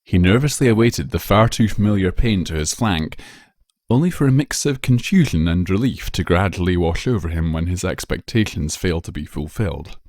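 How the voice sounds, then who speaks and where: A man reading a scripted story aloud as narrator, in a measured, dramatic storytelling manner. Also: deep voice, expressive, a little mellow, no echo, studio quality. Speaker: narrator, fan fiction reader.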